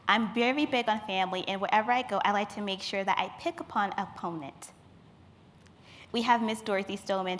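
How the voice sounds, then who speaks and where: A woman's voice: mid-range voice, a bit bright. A woman in her twenties, a youth leader, giving a personal talk as she presents photo slides to a forum. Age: 20s.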